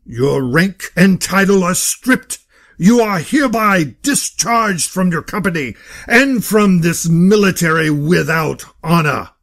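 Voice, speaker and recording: A man's voice: sits high, animated, slow, a bit bright, heavily acted. A man playing a stern, pompous court-martial judge in an audio drama, speaking in formal, declamatory oratory. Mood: stern, condemning.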